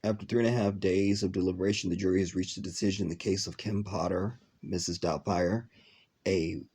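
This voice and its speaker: A man's voice: low-pitched, steady tone, warm tone, slightly rough. A congested man with a stuffy voice, casually talking to viewers in a reaction vlog, with lots of ums and trailing phrases. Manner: rambling with many ums, drawn-out words. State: congested from a cold. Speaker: video reaction host.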